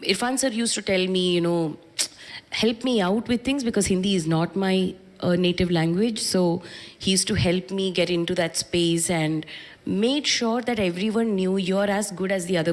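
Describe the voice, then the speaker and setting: A reflective Indian woman in her thirties speaks with an Indian English accent, working through her thoughts with small restarts. Her voice sits a little low for a woman, and she answers from a press conference stage into a handheld mic. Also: lively intonation, unhurried. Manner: reflective, with frequent restarts and fillers. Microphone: handheld microphone.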